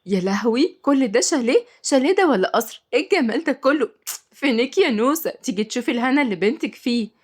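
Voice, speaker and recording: A sweet young nanny, voiced by a woman reader, playful, polite and coaxing in a storybook tale. She speaks quickly and expressively, with tenderness. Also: medium pitch, lively intonation, darkish tone, lightly acted. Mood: amazed.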